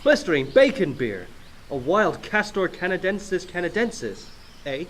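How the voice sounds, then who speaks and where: Comic sidekick cop, a male actor: high, very animated, polite, silly, full of "eh". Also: normal speed, noisy background. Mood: gushing. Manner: tags sentences with "eh". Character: silly, excitable, polite Canadian policeman sidekick. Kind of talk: comic radio play acting.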